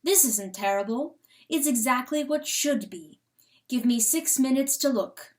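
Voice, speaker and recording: A woman voicing a carefree, gentle and delighted female coyote in a dramatic storybook reading. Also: high voice, animated, measured pace, a little hiss or hum. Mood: soothing. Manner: breathless, exultant, laughing.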